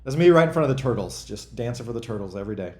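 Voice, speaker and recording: A man in his forties chatting casually as a host, rambling and thinking out loud in a self-deprecating way. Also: mid-range voice, lively intonation, very fast, echoey room. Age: 40s. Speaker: host and game developer answering chat questions. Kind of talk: casual freeform chat.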